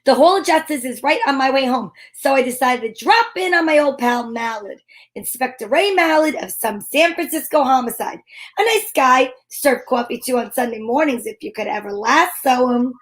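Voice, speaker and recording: A woman's voice: high-pitched, lively intonation, normal speed. A woman playing a breezy, wisecracking private detective in an amateur old-time radio drama, wry and playful.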